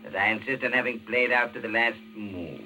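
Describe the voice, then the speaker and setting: An actor playing a proud, courtly villain in a 1940s radio drama, speaking formally and floridly. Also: male voice, lowish voice, pushed and bright, faint music behind, rough recording. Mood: proud, unyielding. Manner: slow, formal, florid diction. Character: courtly, proud male villain, a chess-obsessed killer. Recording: vintage radio, faint background noise.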